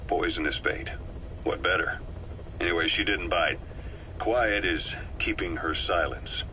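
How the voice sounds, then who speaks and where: A calculating veteran mercenary officer from a video game, very low and bright-edged in voice. He briefs with measured, wry persuasion, over a faintly noisy tape recording. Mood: calm, analytical. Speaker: military intelligence officer.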